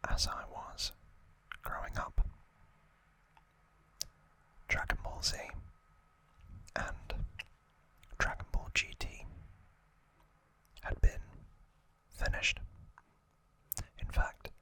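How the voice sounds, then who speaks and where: A young man whispers very slowly for ASMR, right up at the microphone. His hushed voice is crisp and bright, with each short phrase set carefully apart. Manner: hushed, slow, deliberate delivery in short separated phrases. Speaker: host of an ASMR review series. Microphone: close ASMR microphone.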